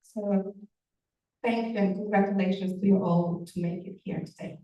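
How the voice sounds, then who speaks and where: A woman coach gives a warm, effusive thank-you speech. She sounds far from the mic in a roomy space. The call audio is dull and lo-fi. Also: medium pitch, fairly even intonation, normal speed. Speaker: personal development coach. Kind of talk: grateful acceptance speech. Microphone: video call. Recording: echoey distant lo-fi call audio.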